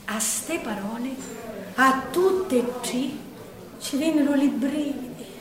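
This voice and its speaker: High-voiced Sicilian woman declaiming a tragic tale. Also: slow, a crowd in the background. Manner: declamatory, lamenting. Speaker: storyteller in a theatre show. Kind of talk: dramatic theatrical storytelling in verse. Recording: echoey room, distant from the mic.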